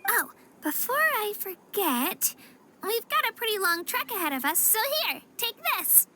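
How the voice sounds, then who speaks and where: A woman's voice: very high-pitched, very animated, unhurried, bright, forceful tone, slight background noise, full character voice. A young woman playing an upbeat, helpful girl guide in a video game, speaking in a friendly, chatty, eagerly explaining way. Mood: cheerful, friendly. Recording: music behind the voice. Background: game music.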